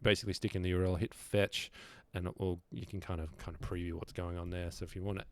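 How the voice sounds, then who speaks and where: A man's voice: low voice, little pitch movement, fast talker. A man presenting an informal screen-share tutorial, explaining things casually and thinking aloud as he goes.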